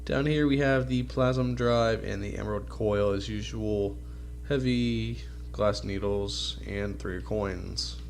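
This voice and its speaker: A man's voice: lowish voice, steady tone, slow pace, vocal fry, slight background noise. Congested, nasally man doing casual game commentary. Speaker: gaming channel host.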